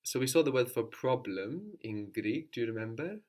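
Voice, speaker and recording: A man's voice: medium pitch, animated, normal speed, darkish tone. A man teaching a language lesson, patiently prompting his student with questions and repeating the answers back.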